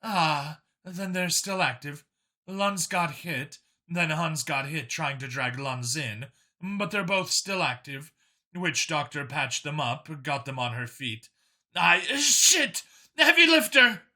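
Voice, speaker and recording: A man's voice: a little high, sing-song, takes it slowly, pushed and bright, heavily acted. A man voicing a brusque female combat squad leader on comms in an audiobook. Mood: businesslike, then urgent shouting. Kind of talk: audiobook character voice.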